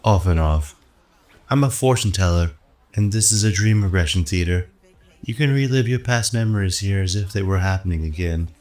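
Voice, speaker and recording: Actor as roguish male fortune teller, lowish pitch, sly, teasing, smooth-talking, radio drama. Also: lively intonation, moderate pace, darkish tone. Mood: sly, friendly. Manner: sly, teasing, unruffled salesman patter.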